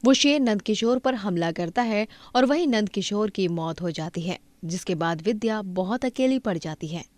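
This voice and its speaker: A woman tells a moral cartoon story as its narrator. Her voice is medium in pitch, and the recording is close and dry. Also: animated, moderate pace, darkish tone, dry recording.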